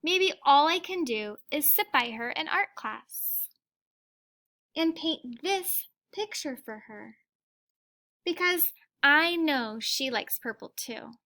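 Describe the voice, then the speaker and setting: High, bright woman reading slowly to children. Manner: expressive, deliberate storytelling, addressing young children. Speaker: teacher reading a picture book aloud to children. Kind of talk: children's story read-aloud with asides to listeners.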